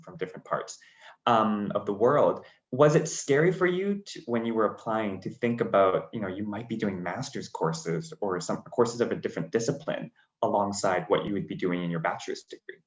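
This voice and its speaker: A man hosting a student webinar, putting questions to panellists and rephrasing them hesitantly as he goes. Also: on the low side. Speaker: host and moderator of a student webinar. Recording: muffled, echoey room audio.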